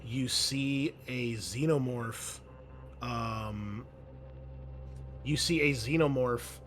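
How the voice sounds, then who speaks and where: A man running a tabletop roleplaying game as game master, narrating scenes haltingly with pauses. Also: mid-range voice, fairly bright tone, slight background noise.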